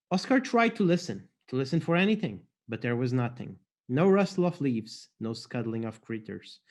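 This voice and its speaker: A man's voice: medium pitch, darkish tone. A man from Portugal, an author introducing and reading his own fantasy fiction aloud.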